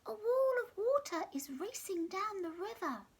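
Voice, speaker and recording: An earnest storybook giraffe, played by a woman reading aloud. The voice is squeaky and pitched far above normal speech, urgent yet gentle in temper. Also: sing-song, a little slow, exaggerated voice. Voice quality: squeaky, high.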